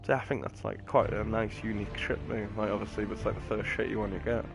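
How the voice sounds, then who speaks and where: A low, gravelly British man chats his way through a video game in a flat, unhurried ramble. His casual streamer commentary sits over clear game music. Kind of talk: casual gameplay commentary with banter. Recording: game music and sounds behind.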